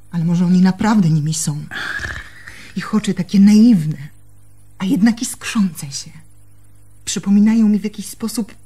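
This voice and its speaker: A woman's voice: mid-range voice, mellow, breathy edge. An actress in a Polish radio play, playing an anxious, haunted young woman in love, with intimate, brooding delivery. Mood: troubled, fascinated.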